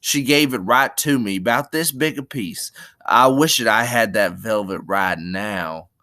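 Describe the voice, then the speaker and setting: Storybook role: simple-minded, childlike big man played by a man. Slow, earnest, pleading rural talk; eager, easily frightened. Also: fairly low pitch, sharp tone, no room sound, studio quality. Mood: happy, eager.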